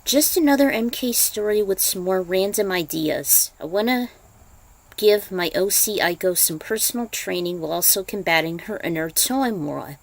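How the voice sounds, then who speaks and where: A woman's voice: mid-range voice, moderate pace, poor audio. A woman with a mid-range voice presents her own fan fiction. She speaks as both writer and reader, in a casual storytelling style. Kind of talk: casual introduction, then story narration.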